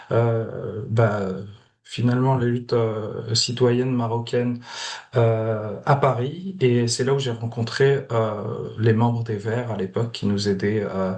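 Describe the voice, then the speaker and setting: A Moroccan-born man in his thirties talks over a video call at a middling pitch. He speaks hesitantly, stopping often on filler sounds as he tells his story. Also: darkish tone. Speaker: guest activist. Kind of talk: casual video call interview.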